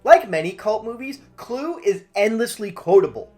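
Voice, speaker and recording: A woman's voice: medium pitch, expressive, moderate pace, dark tone, faint music behind. A woman hosting a film review, reading a scripted video essay with wry, joking asides.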